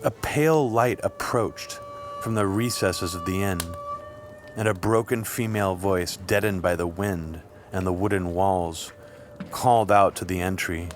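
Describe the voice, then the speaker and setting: A man reading scripted literary fiction as an audiobook narrator, with measured, deliberate phrasing. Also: low-pitched. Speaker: audiobook reader. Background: music.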